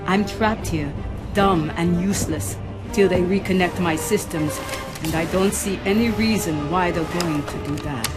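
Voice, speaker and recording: Loud music surrounds a slightly low female computer voice, acted by a woman. The character is a stranded ship intelligence, resigned and bitter, in motion comic dialogue. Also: a little slow, lightly acted.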